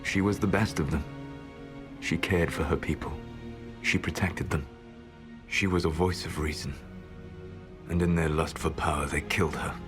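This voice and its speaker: A man voicing an ancient elven trickster god in a video game, grave, proud and regretful, speaking in measured, formal phrases. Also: a bass voice, fairly even intonation, music in the background. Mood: mournful. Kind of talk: dramatic video game dialogue.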